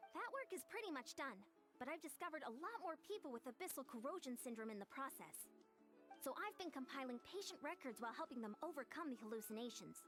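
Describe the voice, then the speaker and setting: A woman playing an upbeat, bossy young team captain in a video game, with a brisk, encouraging manner. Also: high-pitched. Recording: music clearly behind the voice.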